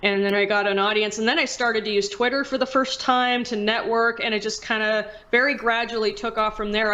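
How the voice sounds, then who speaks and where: A woman's voice: mid-range voice, a bit bright, highs cut off. A woman chatting casually and candidly as a podcast guest, rambling as she goes. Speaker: podcast guest, video creator and streamer. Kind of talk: casual chat.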